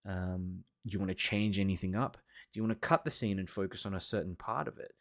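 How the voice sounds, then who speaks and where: Low-voiced man chatting casually on a livestream. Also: fairly even intonation, fast. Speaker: watercolour teacher hosting a live workshop. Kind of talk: casual livestream chat reading and answering viewer comments.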